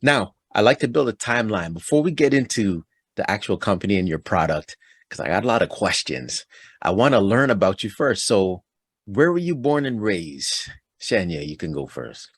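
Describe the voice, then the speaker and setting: A man from Toronto hosting a casual interview, upbeat and chatty and quick to laugh. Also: lowish voice, very animated, slightly rough, dry recording. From Toronto, Canada. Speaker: podcast host.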